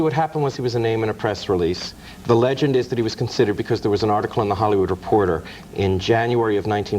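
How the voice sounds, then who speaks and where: A man's voice: on the low side, darkish tone, a little hiss or hum, low-quality recording. A man speaking as an author guest, telling anecdotes in an explanatory, storytelling way. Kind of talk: anecdotal film-history interview.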